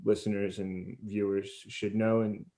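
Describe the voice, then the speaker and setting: A man hosting a conversational interview on a video call, speaking in wordy, deliberate, self-deprecating phrases. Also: on the low side, little pitch movement, dark tone. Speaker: podcast host and interviewer.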